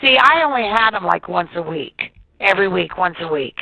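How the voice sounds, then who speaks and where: An American woman, a teacher, answering questions informally and emphatically over the phone in a gravelly, forceful voice. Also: on the low side, expressive, brisk, no echo. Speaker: teacher being interviewed. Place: on a telephone call. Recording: dull phone audio, faint music.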